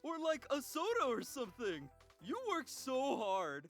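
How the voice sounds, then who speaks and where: A man's voice: very high voice, huge pitch swings, unhurried, a bit bright, full character voice. A man voicing an eager, awkward schoolboy in a visual novel, casual and slangy. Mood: eager, admiring. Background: faint music.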